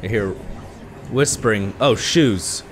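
A man's voice: on the low side, animated, slow pace, noisy background. A young man with a congested voice, nervously talking himself through a horror game into a headset mic in a small room at home. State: congested from allergies. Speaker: gaming video creator.

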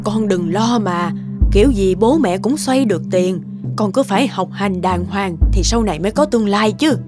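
A worried, gentle middle-aged cartoon mother voiced by a woman, with a medium-pitched voice. She speaks plainly and with concern over loud music. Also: moderate pace, natural voice. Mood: anxious, caring. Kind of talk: animated family drama dubbing.

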